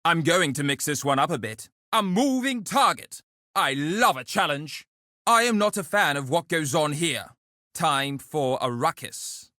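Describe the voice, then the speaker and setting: A duelist who relishes a scrap in a video game, speaking. The voice is high, forceful and very dry, close in the ear. His short challenges are cocky yet sporting and gentlemanly. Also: lively intonation, a little slow, no echo. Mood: cocky, eager. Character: cocky, chivalrous male duelist eager for a scrap.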